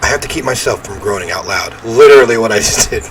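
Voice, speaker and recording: Guy w a lowish voice doing lets play commentary, chatty, reads game text out, noisy background. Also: animated, fast talker. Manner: chatty, informal asides. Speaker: gaming video host. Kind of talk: casual let's-play commentary, reading game text aloud. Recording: game sound and noise behind voice.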